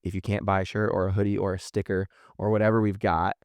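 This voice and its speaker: A man's voice: deep voice, little pitch movement, fast, no echo. A man chatting casually as a podcast guest, earnest and encouraging in his manner.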